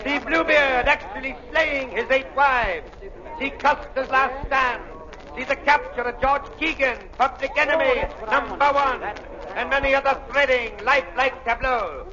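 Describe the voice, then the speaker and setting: An actor playing a loud waxworks barker hawking to a crowd, in 1930s radio style. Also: male voice, very high voice, a little slow. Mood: rousing showmanship. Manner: declamatory, hawking. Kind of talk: 1930s radio acting, showman's patter. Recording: dull 1930s radio recording, crowd noise.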